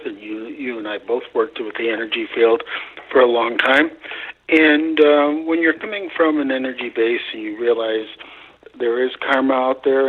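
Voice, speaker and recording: A man's voice: mid-range voice, breathy edge. A middle-aged man talking conversationally as a radio guest, repeating phrases for emphasis, over a narrow phone-like line. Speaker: psychic guest on a radio show. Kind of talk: casual conversational talk.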